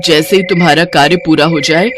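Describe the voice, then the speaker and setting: A woman voicing a stern, commanding witch queen who instructs in a measured, authoritative way. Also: low-pitched, steady tone, music in the background, lightly acted. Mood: solemn, instructing.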